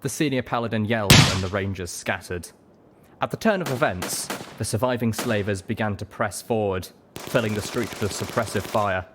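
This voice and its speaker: Male audiobook narrator, low register, dramatic scripted delivery, clear action sound effects underneath. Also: moderate pace, heavy background noise.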